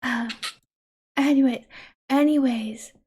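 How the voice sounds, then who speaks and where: A woman hosting a livestream, chatting casually in an expressive, exclamatory way. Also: high-pitched, slow, breathy edge. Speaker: livestream host.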